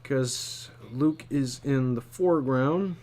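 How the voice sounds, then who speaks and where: Slow, halting man giving a drawing tutorial. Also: mid-range voice, darkish tone. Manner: halting, muttering to himself while working. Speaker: storyboard artist, tutor. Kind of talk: casual drawing tutorial, thinking aloud.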